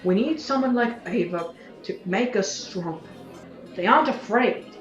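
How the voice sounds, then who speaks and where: A woman's voice: mid-range voice, lively intonation, measured pace, soft background music, natural voice. A woman playing an opinionated, restless agitator who talks conversationally and tags remarks with questions, in an audio drama. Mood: persuasive. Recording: echoey room, dull lo-fi sound.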